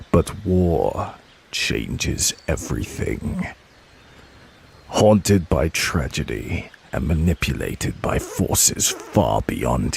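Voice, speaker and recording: A man's voice: sits low, creaky, slight background noise. A man narrating a fantasy audio drama in an ominous, dramatic storytelling manner.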